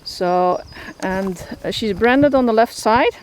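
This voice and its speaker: A woman's voice: fairly high pitch, slow. A woman with a Dutch accent casually talking about her horses outdoors, rambling with many fillers and restarts. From Netherlands. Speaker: horse shelter keeper. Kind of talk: casual vlog walkthrough. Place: outdoors in a rural field. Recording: outdoor noise, crickets behind.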